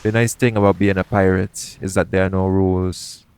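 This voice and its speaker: Man playing low-voiced pirate captain. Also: level tone, moderate pace, darkish tone, clean tone, lightly acted. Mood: frank, reflective. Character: shady, guarded pirate captain, roguish yet gentle. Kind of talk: intimate audio roleplay acting addressed to the listener.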